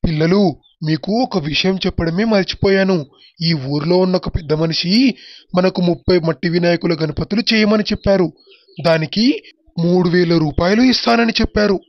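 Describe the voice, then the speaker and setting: A man's voice: high voice, fairly even intonation, brisk, no echo. A man playing a humble, grateful poor village husband and father in a moral story cartoon. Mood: happy, grateful. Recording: dull, muffled track with music. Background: background music.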